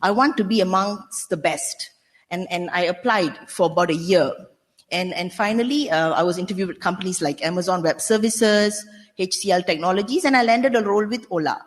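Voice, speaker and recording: A woman's voice: on the low side, brisk, big-room sound. A middle-aged woman from Malaysia speaking candidly and emphatically on a conference panel, with frequent restarts and "you know". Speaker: panelist, senior legal counsel. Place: conference hall.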